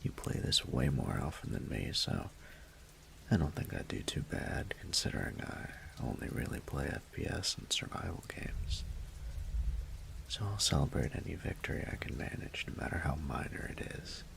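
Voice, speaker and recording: A man acting as a teasing, affectionate young roommate in an intimate audio roleplay, murmuring in a gravelly, breathy half-whisper. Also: extremely low pitch, sing-song, fairly bright tone, a little hiss or hum, heavily acted. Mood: playful, teasing. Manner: playful, unhurried, murmured delivery.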